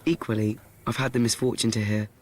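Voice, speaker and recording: Young man as awkward teenage boy, deadpan, confiding, blunt, mid-range voice, radio drama, faint background ambience. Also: medium pitch, brisk, slight background noise, natural voice. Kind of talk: radio play monologue and dialogue.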